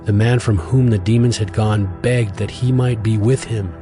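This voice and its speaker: A man narrating a dramatized scripture reading in a steady, even storytelling voice. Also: lowish voice, normal speed. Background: music.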